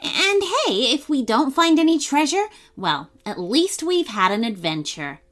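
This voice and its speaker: A woman voicing an excited young girl adventurer who talks in a bubbly, breathless way. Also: high voice, unhurried, full character voice. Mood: coaxing.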